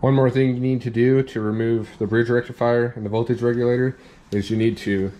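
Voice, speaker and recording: A young man in a workshop, calmly walking through a hands-on repair step by step, with plain practical talk. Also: medium pitch. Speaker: off-road channel host demonstrating a repair. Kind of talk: hands-on how-to explanation. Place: indoor workshop at a workbench.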